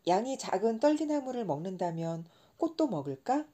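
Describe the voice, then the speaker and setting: A woman's voice: medium pitch, big pitch swings, moderate pace, warm tone. A woman voicing an earnest, stubborn little boy prince in a storybook reading, with insistent, pleading questions. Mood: curious.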